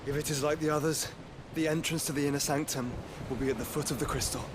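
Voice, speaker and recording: A man's voice: on the high side, steady tone, quick pace, noisy background, lightly acted. A man playing an earnest, protective younger brother and mage in a fantasy video game, with urgent, formal phrasing. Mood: grave, earnest. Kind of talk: dramatic video game cutscene acting. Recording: loud music behind the voice. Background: loud cinematic music.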